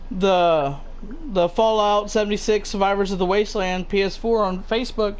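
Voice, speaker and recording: High-voiced rambling man, slight Southern drawl. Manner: rambling, many fillers and restarts. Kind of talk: casual gaming chat.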